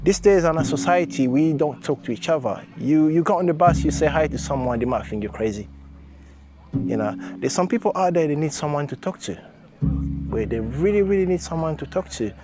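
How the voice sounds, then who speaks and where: A man's voice: fairly high pitch, expressive, a little fast, over music. A man in an interview, speaking earnestly in short emphatic phrases and repeating words for stress. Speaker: interviewee in a documentary.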